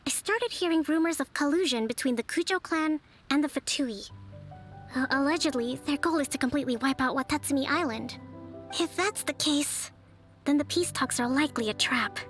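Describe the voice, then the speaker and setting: A woman voicing a composed young leader and strategist who speaks in a measured, formal, articulate way. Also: high voice, close to an everyday voice. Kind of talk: game voice acting. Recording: studio voice with soft game music.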